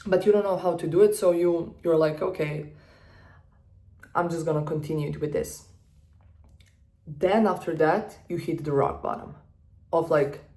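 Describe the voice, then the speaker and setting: A woman in her twenties from Serbia with a Serbian accent, speaking English as a second language in an earnest personal vlog, often pausing to find her words. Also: on the low side, warm tone. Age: 20s. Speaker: vlogger. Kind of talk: personal talk to camera.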